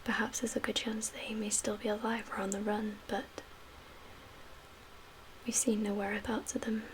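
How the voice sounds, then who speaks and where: A woman playing a calm survivor camp leader in a soft-spoken ASMR roleplay, with a breathy, whispery voice and a gentle, measured, hushed manner. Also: mid-range voice, close to an everyday voice. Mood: calm, cautioning. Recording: clear background ambient noise.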